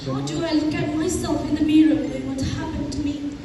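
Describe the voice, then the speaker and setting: In a big echoing space with clear noise, a woman plays a distraught young female victim. Her voice is very high and carries from a distance, in the style of a stage reading. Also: steady tone, brisk, dark tone. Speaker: student teacher performing in a readers' theatre. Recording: very echoey hall, distant mic, noisy.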